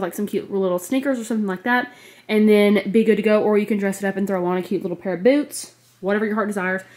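A woman's voice: mid-range voice, darkish tone. An American woman in her twenties with a slightly congested, nasal voice, chatting casually and gushingly as a fashion vlogger into a handheld camera. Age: 20s. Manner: gushing, emphatic, frequent "like". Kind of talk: casual clothing haul chat. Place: bedroom, indoors. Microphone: handheld compact camera at arm's length.

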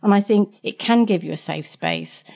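A woman's voice: mid-range voice, moderate pace, gravelly, darkish tone. A middle-aged woman answering interview questions in a chatty way, with frequent restarts. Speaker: guest expert.

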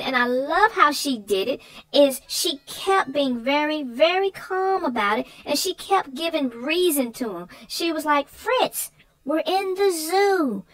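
A woman with a very high-pitched voice, speaking as a co-host. She gives casual, conversational commentary on a children's book. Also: a bit bright, poor audio.

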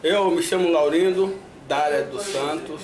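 An older man with a Bahian accent, from Salvador, speaks softly and in a measured way in an interview. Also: fairly high pitch, over a noisy crowd. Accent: Bahian Portuguese. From Salvador, Brazil. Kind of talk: interview testimony. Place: indoors in front of a flag backdrop. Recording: echoey room with faint noise.

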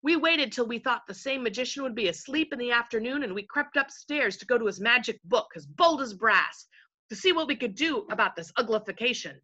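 Woman as a lively, rambling invisible servant chief. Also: fairly high pitch, a little fast, lo-fi sound, pitched above the performer's own voice. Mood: rambling, confiding. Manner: rambling, digressive, folksy storyteller. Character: invisible male chief servant, rambling, folksy, self-important spokesman.

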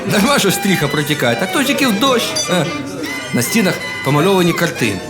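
A man telling a humorous folk tale in a colloquial, expressive, folksy manner. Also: sits high, fast talker. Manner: colloquial, expressive, with chuckles and exclamations. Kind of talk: folksy humorous oral storytelling. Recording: music behind the voice.

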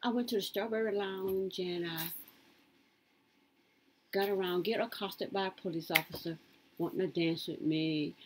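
A woman in her late fifties rambling through her life story in a casual, lively way. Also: normal speed, pauses often, a little hiss or hum, rough recording. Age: 50s. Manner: lively, swoops high when quoting others.

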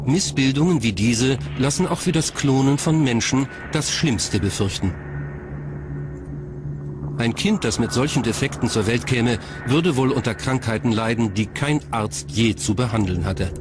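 A man's voice: mid-range voice, unhurried. Male documentary narrator, noisy background.

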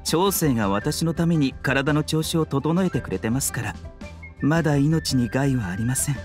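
A man voicing a gentle, courteous and frail doctor in a Japanese video game, composed and polite. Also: mid-range voice, lively intonation, normal speed, faint music behind, lightly acted. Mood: calm explaining. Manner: soft-spoken politeness, composed.

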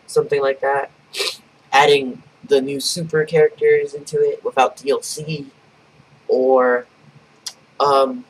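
A man's voice: fairly high pitch, recorded from a distance, slight background noise, poor audio. A young adult man giving casual live reaction commentary as a video host. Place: small bedroom, indoors. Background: trailer music.

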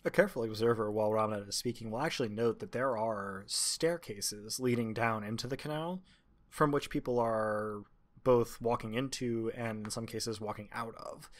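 A man improvising narration as game master, describing scenes in halting, measured phrases. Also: on the low side.

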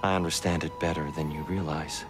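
An actor playing a calm, patient warrior god mentor in a video game, measured and philosophical. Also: male voice, rumbling low. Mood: gentle, knowing. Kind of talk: dramatic video game acting. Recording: game audio under loud music.

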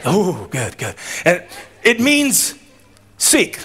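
A man's voice: sits high, huge pitch swings, drawn-out words, a bit bright. Man, thirties, born in Tonga, tenor voice, joking commencement sermon, audience call-and-response, outdoor tent stage. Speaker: commencement speaker.

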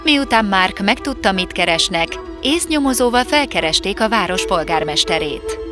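Lady narrating a kids cartoon story, super expressive pitch, music under it. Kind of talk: scripted fairy-tale cartoon narration.

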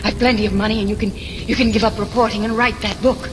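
An imperious, seductive schemer played by a woman speaks at a middling pitch with theatrical command. The style is 1940s radio mystery, on a noisy vintage recording. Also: steady tone, fast talker, lightly acted. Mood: seductive, scheming. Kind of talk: 1940s radio drama acting.

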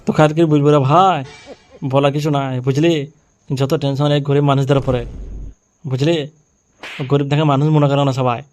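A man's voice: a little high, flat delivery, brisk, pauses often, darkish tone, smooth, close to an everyday voice. A man voicing a worried, poor village tea-stall keeper who complains wearily in an amateur cartoon. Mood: weary, aggrieved. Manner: complaining, repeats himself. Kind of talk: amateur funny cartoon dub. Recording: music behind the voice. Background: music.

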